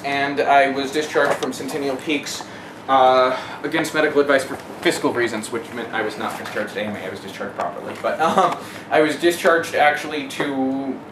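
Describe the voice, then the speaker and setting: Emphatic speaker in a noisy, echoey room. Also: medium pitch. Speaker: interviewee giving testimony. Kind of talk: personal testimony in an interview. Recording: echoey room, clear background noise.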